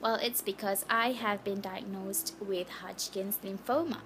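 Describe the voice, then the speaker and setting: A woman's voice: mid-range voice, steady tone, measured pace, noisy background. A nineteen-year-old woman from Malaysia, a native Malay speaker, talking in a casual, hesitant and apologetic way with a Malaysian English accent. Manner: hesitant, chatty, apologetic, with many restarts. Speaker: vlogger sharing her cancer story. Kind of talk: casual personal vlog. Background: background music.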